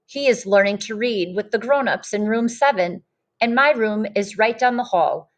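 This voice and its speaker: A woman's voice: medium pitch, normal speed, lightly acted. A woman voicing an admiring young child who narrates a picture book with wondering emphasis. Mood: proud.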